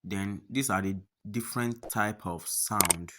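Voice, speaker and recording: A man's voice: on the low side, takes it slowly, very noisy. A man narrating a step-by-step app tutorial, often repeating his phrases and instructions. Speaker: tutorial host.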